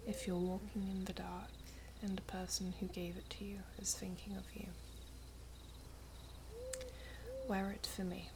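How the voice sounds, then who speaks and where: A woman playing a wistful, lovelorn elven princess in a whispered ASMR roleplay, soft, breathy and halting. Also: medium pitch, noisy background. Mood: tender.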